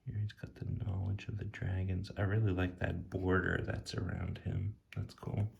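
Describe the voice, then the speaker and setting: A man speaking softly and casually in ASMR style, sometimes near a whisper, musing off the cuff as he reviews something. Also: sits low, level tone, normal speed, gravelly, lo-fi sound, slight background noise. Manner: hushed, sometimes dropping to a whisper; casual, laid-back musing. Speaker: tarot deck reviewer. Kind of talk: soft-spoken ASMR, impromptu commentary.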